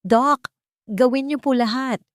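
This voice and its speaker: A woman's voice: high-pitched, measured pace, no echo, studio quality, voice pushed up. A woman with a Filipino accent voicing a resolute, protective young mother in an audio storybook. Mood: desperate. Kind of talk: audio storybook character acting.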